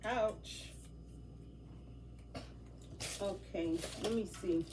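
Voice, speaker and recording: A woman's voice: mid-range voice, extremely slow, a little mellow. A chatty woman who sounds a bit nasal from a stuffy nose, talking through an unboxing in a loose, rambling way full of ums. She sits back from the microphone, so her voice sounds distant. A noticeable hiss runs underneath. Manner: chatty, rambling, many fillers. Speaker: vlogger. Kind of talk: casual unboxing vlog. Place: outdoors near a garden. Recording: noisy, from off mic.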